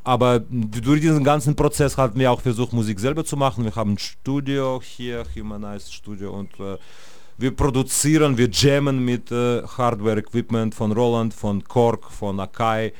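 Smooth-voiced man. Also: mid-range voice, steady tone, moderate pace, a little mellow. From Russia. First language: Russian. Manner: hesitant, many fillers and restarts, laughs easily. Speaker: guest, music producer and DJ. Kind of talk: casual interview talk.

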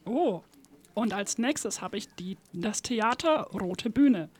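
A woman's voice: medium pitch, lively intonation, takes it slowly, darkish tone, husky. A woman assisting with a draw, casually reading out names.

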